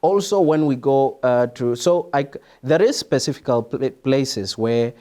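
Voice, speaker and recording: A young South African man, a native Sotho speaker, answers interview questions conversationally in a halting, explanatory way, repeating points for emphasis. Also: medium pitch, lively intonation, dark tone. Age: young adult. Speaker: podcast guest, international student.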